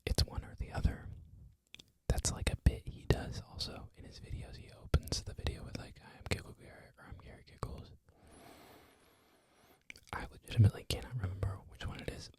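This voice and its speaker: An ASMR creator whispering a loose, rambling talk, full of "like" and "um" fillers. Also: quick pace. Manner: soft whisper throughout, frequent "like" and "um" fillers.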